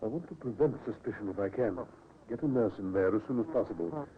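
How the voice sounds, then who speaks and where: An actor playing a grave, careful consulting doctor in a 1950s radio drama. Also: male voice, on the low side, animated, fast talker, lightly acted. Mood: grave, firm. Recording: tinny old radio recording with hiss.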